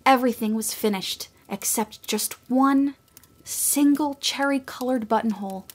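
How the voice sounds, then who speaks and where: A woman giving scripted storybook narration for a radio play, gentle and expressive, sometimes dropping to a hush. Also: on the high side, unhurried.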